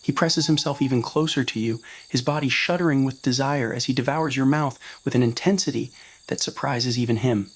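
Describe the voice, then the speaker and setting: A man's voice: medium pitch, a little fast, husky. A man reading a scripted romantic roleplay story as narrator, in an ASMR audio style.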